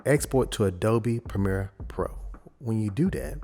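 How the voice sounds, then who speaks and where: A man with a low voice talks through a software tutorial in an informal, explanatory way, thinking aloud. There is faint noise and some clicking behind him. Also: unhurried. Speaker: audio editing tutorial presenter. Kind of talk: casual software screen tutorial.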